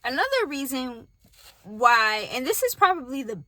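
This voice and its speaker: Bright-voiced Southern woman vlogging in car. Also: high-pitched, big pitch swings, normal speed, no echo. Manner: candid, chatty, talks to viewers directly. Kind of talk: casual talk to camera. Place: parked car. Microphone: phone on tripod.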